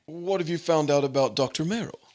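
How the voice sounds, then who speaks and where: A man playing a worried, hesitant insurance office man in a radio drama, with a husky voice. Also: on the high side, brisk, darkish tone, natural voice. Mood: flustered, dismayed. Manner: hesitant, fussy, dithering. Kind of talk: old-time radio drama acting.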